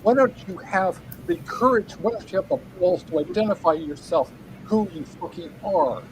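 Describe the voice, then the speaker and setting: A middle-aged man with a notably high voice delivers a furious, profanity-laced rant over a video call. He repeats phrases and trips into restarts, leaving a faint hum in the background. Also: expressive, normal speed. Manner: angry, profane, repeats himself, stumbling restarts. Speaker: guest writer. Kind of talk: angry livestream rant.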